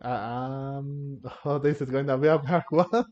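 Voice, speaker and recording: A man giving casual gameplay commentary as a video host, halting and searching for his words. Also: mid-range voice. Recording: dull lo-fi mic, game music behind. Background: game music.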